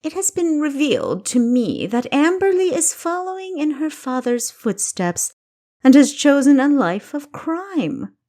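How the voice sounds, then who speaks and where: A woman voicing a wicked, commanding king in an audiobook, mocking and deliberate in the way he speaks. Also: high voice, big pitch swings, warm tone, voice pushed up. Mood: imperious. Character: wicked, commanding king, male, menacing and teasing. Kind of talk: audiobook character dialogue.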